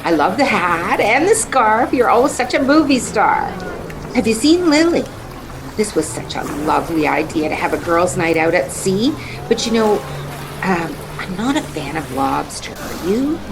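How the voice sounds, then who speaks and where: A woman's voice: mid-range voice, very animated, moderate pace, background noise. A woman playing a fussy, fretful yet gushing lady passenger in a radio play. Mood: gushing, excited.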